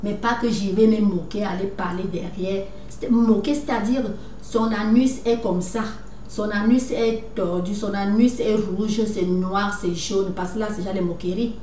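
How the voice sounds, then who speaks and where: A woman's voice: mid-range voice, steady tone, normal speed, warm tone. A woman talking straight to her viewers in a lecturing way, restarting often and asking "right?" again and again. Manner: lecturing, repeats "n'est-ce pas", many restarts. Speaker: video commentator. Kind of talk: casual monologue to viewers. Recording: echoey room, somewhat far from mic, faint noise.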